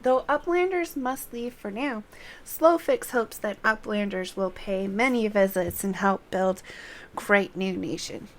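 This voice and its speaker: A woman voicing a friendly goblin chief from a video game, with deliberate, quaint phrasing. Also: mid-range voice, close to an everyday voice. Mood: friendly, declaring.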